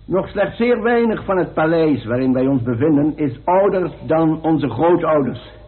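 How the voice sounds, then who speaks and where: An actor playing a formal, solemn coroner in a radio play, speaking in a pompous, deliberate, ceremonial way. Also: male voice, a little high, animated, close to an everyday voice. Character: formal, solemn male coroner of the royal household. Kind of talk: radio drama acting, ceremonial speech. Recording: tinny band-limited old radio recording.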